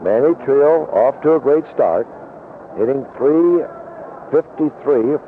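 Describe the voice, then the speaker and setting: American baseball announcer on tinny vintage radio. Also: male voice, medium pitch, lively intonation, slow pace, a little hiss or hum. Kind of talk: 1970s radio baseball commentary.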